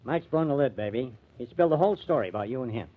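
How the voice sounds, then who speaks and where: An actor playing a sardonic, skeptical publicity agent turned sleuth in a 1950s radio mystery, talking in slang. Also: male voice, medium pitch, lively intonation, rapid-fire, natural voice. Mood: sardonic, confronting. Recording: tinny, narrow old radio recording.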